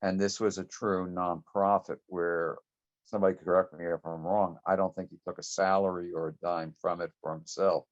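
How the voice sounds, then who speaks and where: Man, fairly low voice, hesitant phrasing, casual reminiscing, dull video call audio. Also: flat delivery, normal speed. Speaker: longtime convention committee member.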